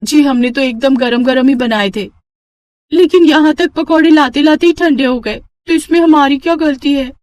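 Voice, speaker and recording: Woman voicing a high-pitched, whiny young daughter-in-law. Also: normal speed, a little mellow, lightly acted. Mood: defensive. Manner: complaining, plaintive.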